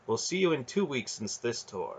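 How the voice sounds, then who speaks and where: A man voicing a wise, calm old sorcerer grandfather who speaks in a reassuring, fatherly way. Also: mid-range voice, normal speed, breathy edge, full character voice.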